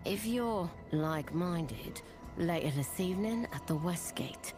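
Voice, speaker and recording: A woman's voice: fairly low pitch, animated, a little slow. A woman playing a bold, conspiratorial rebel townswoman in a video game. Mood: admiring, conspiratorial. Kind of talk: video game dialogue acting. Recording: game music behind.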